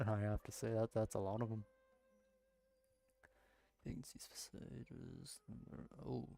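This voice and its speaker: A man's voice: lowish voice, a little slow, vocal fry, mellow, soft background music. A man giving casual gameplay commentary, muttering at himself in exasperation. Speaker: gameplay streamer.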